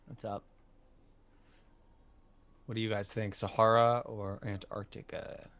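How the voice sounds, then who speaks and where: A man's voice: fairly low pitch, little pitch movement, unhurried, a bit bright. Joking young man hosting a livestream. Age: 20s. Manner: joking, reads chat aloud. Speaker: livestream host. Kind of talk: casual group chat.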